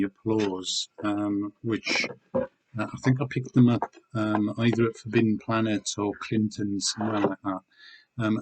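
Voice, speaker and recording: A middle-aged man from the United Kingdom, slightly hoarse, talking casually and rambling with many ums as he shows his eBay items to the camera. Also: lowish voice, low-quality recording. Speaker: eBay seller reviewing weekly sales. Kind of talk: casual video chat showing items.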